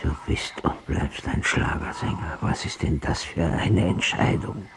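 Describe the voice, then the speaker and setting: A man's voice: deep voice, a little slow, vocal fry, a little hiss or hum. An actor playing a bitter old father on his deathbed, reproaching his son in a radio drama. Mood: bitter, fading. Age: older.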